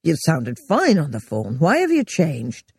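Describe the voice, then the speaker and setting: A woman's voice: low voice, wildly swooping pitch, a little slow, a little mellow, slightly rough, no room sound, studio quality, full character voice. A woman voicing an exasperated, defensive middle-aged man who sneers and blusters. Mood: indignant. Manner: sneering, indignant.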